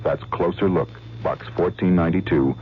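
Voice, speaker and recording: Very deep-voiced PSA announcer. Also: male voice, husky. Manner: slow, earnest announcer read. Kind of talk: public service announcement. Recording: dull old radio with hum.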